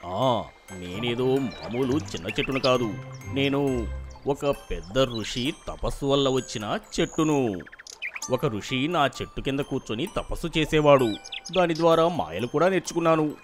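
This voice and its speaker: An enormous old enchanted tree from a children's tale. The voice sits extremely low, proud and sure of itself, yet kindly and reassuring. Light music plays behind it. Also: female voice, expressive, normal speed. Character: big old magic tree, boastful, kindly, reassuring helper. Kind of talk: storybook character voice for children.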